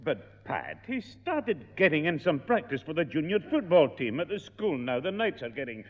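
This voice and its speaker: A gentle old grandad. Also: male voice, on the high side, big pitch swings, normal speed. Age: older. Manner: gently scolding, sing-song. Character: kindly Scottish grandfather. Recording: tinny, echoey old radio broadcast.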